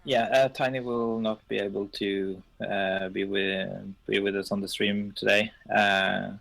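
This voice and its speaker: A man whose first language is Norwegian, co-owner of a game server, talking haltingly on a casual live stream with lots of fillers. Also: lowish voice, flat delivery, a bit bright, low-quality recording. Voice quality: gravelly.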